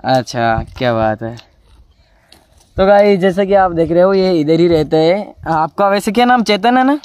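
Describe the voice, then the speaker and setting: A man's voice: high voice, lively intonation, normal speed, a little mellow, smooth, no echo. Young man vlogging outdoors on a farm. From Rajasthan, India. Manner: chatty, friendly, questioning a child. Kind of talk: casual village vlog. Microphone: lapel mic.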